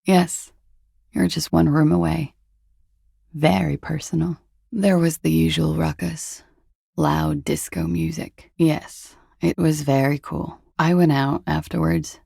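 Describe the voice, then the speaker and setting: Gravelly, low-voiced, guarded young woman. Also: steady tone, slow, mellow, dry recording, heavily acted. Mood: cool, matter-of-fact. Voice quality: husky, gravelly, breathy. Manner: languid, dry, wryly understated. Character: cool, guarded young woman, disco dancer with secrets. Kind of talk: video game character dialogue.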